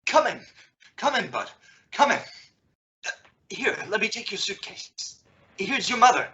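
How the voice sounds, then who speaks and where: A man's voice: high voice, sing-song, unhurried, pushed and bright, slightly rough, no room sound, muffled recording, full character voice. A man acting as an eager, flustered middle-aged father in an old-time radio play, hearty and repeating himself.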